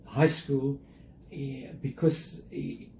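An older man with a South African accent, talking reflectively and carefully as an interview guest, with measured, hesitant phrasing. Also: medium pitch, little pitch movement, dark tone. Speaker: interview guest, museum chief executive. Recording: roomy echo, faint background noise.

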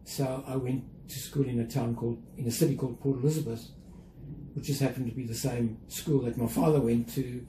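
An older man with a South African accent, talking reflectively and carefully as an interview guest, with measured, hesitant phrasing. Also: medium pitch, fairly even intonation. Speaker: interview guest, museum chief executive. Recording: roomy echo, faint background noise.

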